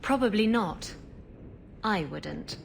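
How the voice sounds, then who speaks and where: Brash pirate woman, mid-pitched, wheedling, flippant, evasive, game dialogue, ambient noise behind. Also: lively intonation, slow pace, vocal fry. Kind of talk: video game voice acting.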